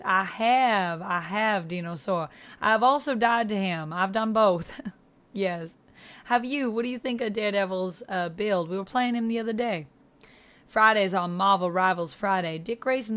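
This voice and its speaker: A woman's voice: medium pitch, moderate pace, a bit bright. Chatty woman streamer with a Southern accent. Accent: Southern US, Georgia, put on. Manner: chatty, addresses viewers by name. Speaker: livestream host. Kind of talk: casual livestream chat, answering viewers.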